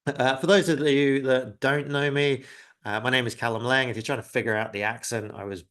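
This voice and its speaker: A man's voice: mid-range voice, fairly even intonation, a little fast, a bit bright. A man in his forties who grew up in the UK, giving a live webinar presentation in a conversational way with many filler words and a self-deprecating tone.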